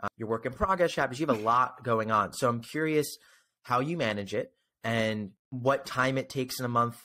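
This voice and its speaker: A man's voice: mid-range voice, normal speed, sharp tone. A young man speaking conversationally as a podcast interviewer, close to a desktop microphone on a video call. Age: young adult. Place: indoors at home, on a video call. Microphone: desktop microphone close in front.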